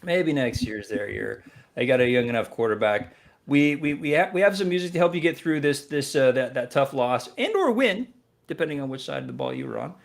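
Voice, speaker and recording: A man co-hosting an online music radio show, chatting casually with energy and jokes, often pausing on fillers. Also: medium pitch, gravelly. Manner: energetic, joking, lots of fillers. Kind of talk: casual chat.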